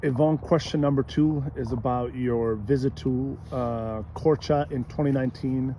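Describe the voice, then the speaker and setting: A male interviewer with a mellow, warm voice asks numbered questions in a friendly and orderly way. A faint background noise sits under his voice. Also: mid-range voice, steady tone, normal speed.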